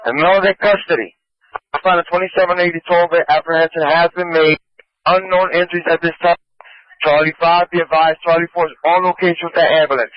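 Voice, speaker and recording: A man's voice: on the high side, steady tone, fairly quick, no room sound, muffled recording. A male police radio dispatcher repeating terse orders to all units.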